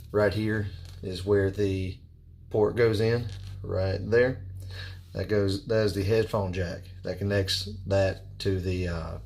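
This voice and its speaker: A man giving a casual, unscripted product review in a halting, plainspoken and repetitive way. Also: deep voice. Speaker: product reviewer and firearms instructor. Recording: clear background noise.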